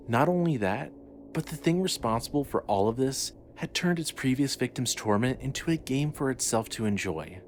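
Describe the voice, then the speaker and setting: A man's voice: mid-range voice, a little hiss or hum. A man narrating a scripted horror story as the storyteller of an audio drama.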